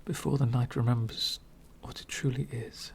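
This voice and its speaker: A man playing a lonely, courteous, centuries-old vampire in an ASMR roleplay, speaking formally and wistfully in soft, breathy whispers. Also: mid-range voice, level tone, slight background noise, lightly acted. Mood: gracious, wistful. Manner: formal, wistful, slow and deliberate.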